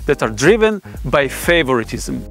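A man's voice: mid-range voice, very animated, normal speed. A man in his forties gives a scripted explainer in a formal, academic manner, speaking as a presenter. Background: background music.

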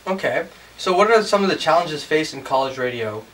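A man's voice: fairly high pitch, animated, fairly quick, a bit bright. Guy in his 30s hosting a casual chat show, bit far from the mic, some noise. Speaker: TV show host. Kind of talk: casual interview. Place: furnished indoor music room studio. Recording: distant mic with clear background noise.

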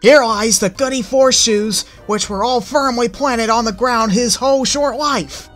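A man's voice: very high-pitched, a little slow, fairly bright tone, soft background music, voice pushed up. A man voicing an indignant, hot-tempered young male griffin in a fan story reading. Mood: angry. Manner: growling, indignant.

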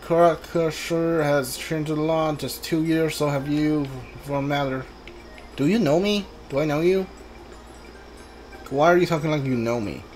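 Casual streamer talking thru a game, reads the text boxes out loud, mutters asides, bit of game noise behind. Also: mid-range voice, unhurried. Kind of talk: casual gameplay commentary, reading on-screen dialogue aloud. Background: video game ambience.

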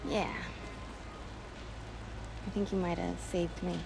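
A woman's voice: on the low side, level tone, takes it slowly, vocal fry, over music. A young woman with an American accent acting a tough, sardonic vigilante with a dry, brash manner in a video game. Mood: wry. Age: young adult.

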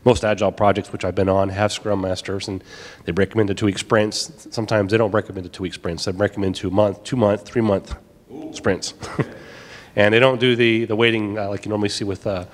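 A man's voice: on the low side, fairly even intonation, a little fast, long reverb tail. A man in a conference audience describing his team's work practices. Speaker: audience member. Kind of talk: sharing experience from the audience. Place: hotel conference room.

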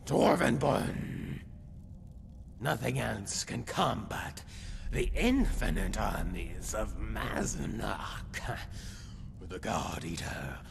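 A self-proclaimed lizard-man hero from a fantasy game. He speaks extremely slowly and pompously, relishing his own boasts. The voice is a croaking, breathy rasp, over clearly noisy game ambience. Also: lowish voice, exaggerated voice. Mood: scornful, proud. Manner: slow, pompous, theatrical storytelling. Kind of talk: fantasy video game character monologue.

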